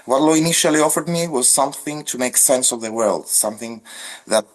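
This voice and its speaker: A man from central Greece with a Greek accent, a legal scholar reflecting formally on his path as a podcast guest. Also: medium pitch. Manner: measured, formal, lists ideas one by one.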